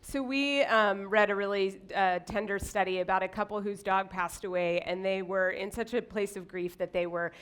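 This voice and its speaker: Woman co-presenting, medium-pitched voice. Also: steady tone, normal speed, hardly any pauses, a bit bright, smooth. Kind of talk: live slideshow talk to an audience.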